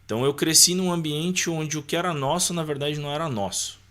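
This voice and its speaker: A man's voice: a little high, fairly quick, a bit bright. A man with a Brazilian accent speaking as a podcast guest, sharing personal faith and life in an informal, conversational way, often checking for agreement.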